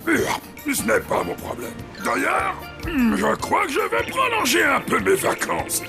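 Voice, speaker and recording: An actor voicing a lazy, sly male boogeyman in a cartoon, speaking in a gravelly, drawling, unbothered way. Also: very high voice, wildly swooping pitch, a little slow, over music, full character voice. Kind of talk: French cartoon dubbing.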